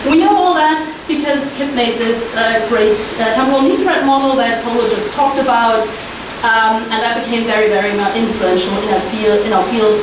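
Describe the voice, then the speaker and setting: A woman with a German accent giving an informal, enthusiastic conference talk as an academic researcher. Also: a little high. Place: indoor conference room. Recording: very echoey hall, distant mic, hissy noise.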